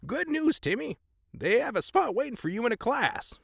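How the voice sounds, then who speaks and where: A man's voice: very high voice, big pitch swings, normal speed, gravelly, slightly rough, no echo, studio quality, full character voice. A man playing a kindly, fretful, do-gooder grandpa with a fussy, emphatic manner in a comic audio drama. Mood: cheerfully reassuring. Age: older.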